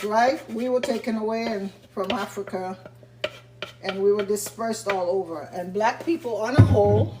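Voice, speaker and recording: A woman with a slightly raspy voice talks through a recipe in her home kitchen. She is chatty and explains each step as she cooks. Frying food sizzles clearly behind her. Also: mid-range voice, noisy background. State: slightly raspy from talking a lot. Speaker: vegan cooking channel host. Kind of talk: casual cooking demonstration. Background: frying and sizzling sounds.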